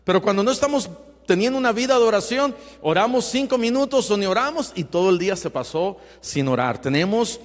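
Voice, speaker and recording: A male pastor preaching earnestly, with emphatic rising bursts and quiet asides. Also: high voice, animated, fairly quick. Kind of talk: sermon. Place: church sanctuary. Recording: long hall reverb.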